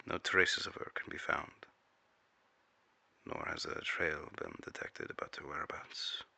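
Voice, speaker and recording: A man's voice: very deep voice, very animated, a little slow, vocal fry, bright, forceful tone, whispered, heavily acted. A man playing a serious, controlled agency interrogator taking and recording testimony in a clipped, official way in an audio drama. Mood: formal.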